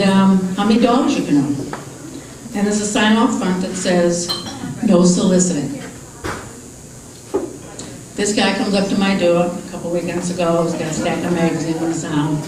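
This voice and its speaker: An older woman from Boston with a Boston accent doing a stand-up comedy set, unhurried and deadpan, with many "uh" fillers. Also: mid-range voice. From Boston, USA. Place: large comedy club with live audience. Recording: echoey club recorded from far off. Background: crowd laughter and room noise.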